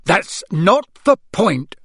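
A man's voice: high-pitched, sing-song, slow, a bit bright, husky, no room sound, voice pushed up, full character voice. Audiobook role: male narrator as outraged middle-aged dad, emphatic and indignant, dry close recording. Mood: angry, scolding. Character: outraged middle-aged father scolding his sons. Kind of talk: audiobook character voice.

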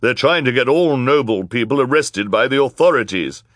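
A villainous storybook woman with a low, deep voice, put on by a male narrator. She is a crooked judge, sly and accusing, heard in a dry, close sound. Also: animated, moderate pace, no echo, close to an everyday voice. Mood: indignant.

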